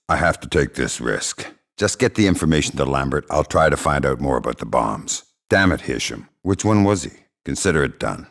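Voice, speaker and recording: An actor playing a hardened middle-aged spy in a video game, gravelly, terse and deadpan, with dry sarcasm and menace. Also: male voice, extremely low pitch, exaggerated voice. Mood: terse, focused. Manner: terse, deadpan, dryly threatening.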